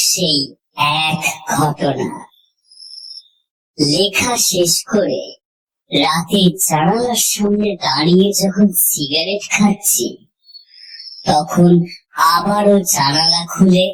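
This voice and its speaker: Slow, low-voiced woman narrating horror fiction. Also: low-quality recording. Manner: slow, deliberate delivery with suspenseful pauses. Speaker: audio story narrator. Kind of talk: scripted horror story narration, dramatic first-person reading.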